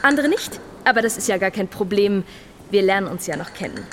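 A woman's voice: mid-range voice, big pitch swings, brisk, close to an everyday voice. Composed woman acting over faint noise. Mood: welcoming. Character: female auction bidder and gallery guide, composed, welcoming. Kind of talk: theatrical scripted narration.

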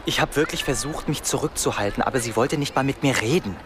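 An actor playing a remorseful, self-pitying young man, halting and defensive. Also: mid-range voice, noisy background, close to an everyday voice. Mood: brooding.

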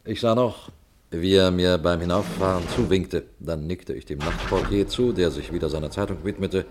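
Man as a deep-voiced colonel telling a mystery. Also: background noise, lightly acted. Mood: composed storytelling. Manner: measured storytelling, composed. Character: composed male colonel narrating his own mystery case. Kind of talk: scripted radio mystery narration and dialogue.

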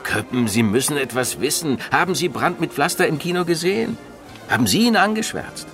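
Sharp, swooping, domineering film producer. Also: male voice, a little high, sing-song, a little fast. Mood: probing. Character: domineering male film producer, probing and capricious. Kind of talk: theatrical radio drama acting. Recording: studio radio play over music.

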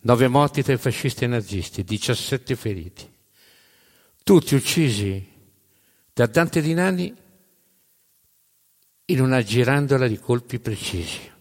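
A man narrating a story on stage in dramatic spoken-word style, emphatic, with deliberate pauses and repeated phrases. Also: medium pitch, animated. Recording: reverberant hall live recording.

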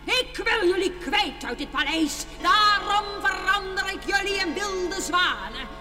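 Evil stepmother queen: very high, slow, menacing, cursing through cavernous reverb. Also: expressive. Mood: spiteful, commanding. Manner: drawn-out, menacing, cackling. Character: wicked, spiteful queen and stepmother casting a curse. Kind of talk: theatrical fairy-tale radio play acting. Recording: long reverb, loud music, faint noise.

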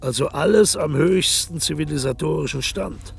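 An actor playing a vain, sardonic aging dictator in a radio play, mocking and grandiose, sinking into low sneering murmurs. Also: male voice, moderate pace, creaky, breathy edge, heavily acted. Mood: cynical. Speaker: title character in a radio play.

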